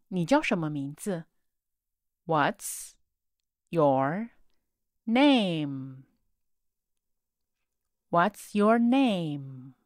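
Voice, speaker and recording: A woman teaching set phrases in a slow drill, saying each word on its own and then the whole sentence. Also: medium pitch, no room sound, clean studio sound. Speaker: English teacher. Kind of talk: slow repeat-after-me drill reading.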